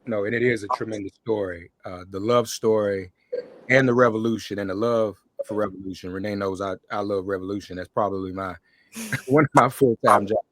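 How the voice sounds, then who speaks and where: A man's voice: lowish voice, fairly even intonation, brisk, fairly bright tone, no room sound. A man hosting a conversational talk show interview, speaking effusively and often repeating his phrases.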